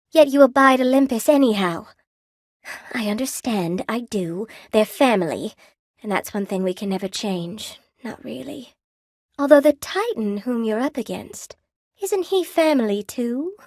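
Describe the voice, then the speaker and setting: A woman's voice: medium pitch, dry recording, clean studio sound, close to an everyday voice. Woman voicing a wistful, courteous spider woman, closely recorded. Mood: bitter, wry. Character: wistful, courteous spider woman, cursed weaver, proud yet lonely. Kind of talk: video game character dialogue.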